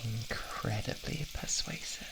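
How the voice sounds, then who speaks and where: A British man playing an obsessive, doting boyfriend with quiet menace in an intimate, cooing ASMR roleplay. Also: background noise, lightly acted. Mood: coaxing, sinister. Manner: cooing, slow, possessive, drawn-out words.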